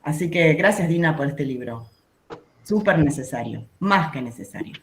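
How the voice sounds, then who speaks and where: A woman's voice: sits low, lively intonation, normal speed, a little hiss or hum. A woman presents a speaker in an informal spoken introduction.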